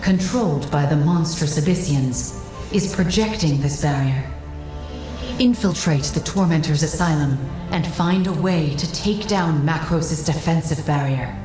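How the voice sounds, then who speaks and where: A woman voicing a calm magical construct who guides a warrior in a video game, speaking in measured, formal instructions. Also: low voice. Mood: measured, resolute. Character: calm female magical construct, a guiding strategist. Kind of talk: scripted video game dialogue and mission briefing. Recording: roomy echo, loud game music behind.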